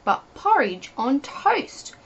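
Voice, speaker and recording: A woman reading a children's story expressively, addressing the young listener with playful questions in a husky voice. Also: sits high, sing-song, takes it slowly. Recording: dull lo-fi home recording.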